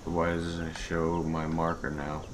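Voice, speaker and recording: A man's voice: a bass voice, monotone, a little slow, noisy background. A man giving casual, informal gameplay commentary, muttering to himself as he plays and tests things. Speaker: streamer and mod creator. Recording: game music behind the voice. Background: video game music.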